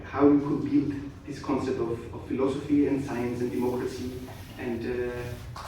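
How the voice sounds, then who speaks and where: Man, halting formal speech, echoey hall. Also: mid-range voice, level tone. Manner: halting, many hesitations and restarts. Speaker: guest speaker. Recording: echoey hall, distant mic, faint noise.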